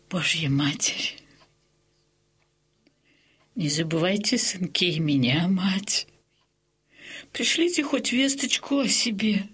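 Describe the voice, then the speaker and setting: An actress playing a tender, grieving old Cossack mother in an audio drama, lamenting in a plaintive, drawn-out way. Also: medium pitch, fairly bright tone, breathy edge, exaggerated voice. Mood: tearful, tender. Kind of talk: Russian audio drama acting.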